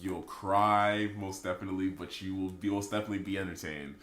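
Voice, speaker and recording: Stuffy, sick-sounding man, low voice. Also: fairly quick. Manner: opinionated, animated rants. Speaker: podcast co-host. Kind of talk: casual podcast chat. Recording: echoey room.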